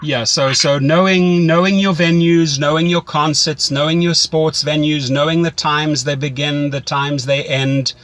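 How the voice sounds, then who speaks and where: A man's voice: on the high side, fairly even intonation, a little slow, few pauses, a bit bright, slight background noise. A man mentoring a driver in a conversational, instructive way, often repeating phrases for emphasis. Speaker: rideshare driving coach.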